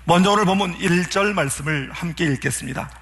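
A man's voice: sits high, quick pace, vocal fry, fairly bright tone. A man who is a pastor, preaching a sermon in an earnest way and putting rhetorical questions to his congregation.

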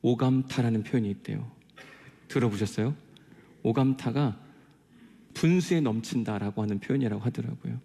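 A man's voice: mid-range voice, moderate pace, creaky, pauses often, warm tone, husky. A male pastor giving a sermon in an earnest, conversational way, telling personal stories and asking rhetorical questions. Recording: long hall reverb.

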